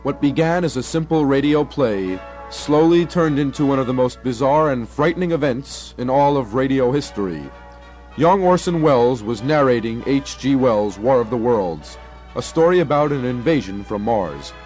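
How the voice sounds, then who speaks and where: A young man narrates a radio documentary from a script. His voice sits at a medium pitch, with faint music behind it. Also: lively intonation, moderate pace, darkish tone. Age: young adult.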